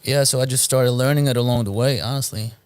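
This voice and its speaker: A man in his late twenties from Belgium, a native Moroccan Arabic speaker, talking casually as a rapper guest in an interview, with a relaxed, halting delivery full of ums. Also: mid-range voice, clear, resonant tone, no echo, studio quality. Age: 20s. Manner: relaxed, hesitant with frequent ums and restarts.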